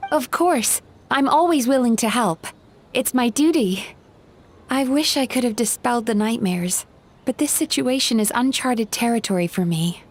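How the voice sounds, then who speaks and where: A woman voicing a kindly, polite, sociable young woman in a video game, with expressive delivery. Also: fairly high pitch, normal speed, natural voice. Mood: friendly.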